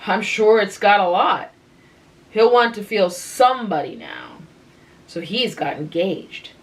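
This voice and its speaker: A woman's voice: mid-range voice, sing-song, measured pace, recorded from a distance. A woman voicing a blunt, careless young woman who speaks in a teasing, matter-of-fact way. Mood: offhand.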